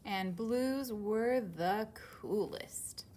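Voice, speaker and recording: A woman's voice: mid-range voice, drawn-out words, warm tone. A woman with an American accent hosting a children's story time, talking simply and putting questions to young listeners. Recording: noticeable background noise.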